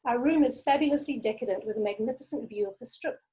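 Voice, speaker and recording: A woman author with a soft voice, talking candidly over a video call and reading from her memoir. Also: a little high, fast talker. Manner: candid, conversational. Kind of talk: interview answers and memoir reading.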